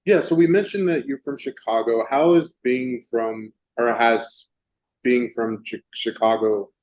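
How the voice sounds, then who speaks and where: Male interviewer, from texas, halting, many restarts, medium pitch. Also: a little mellow. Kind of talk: casual interview.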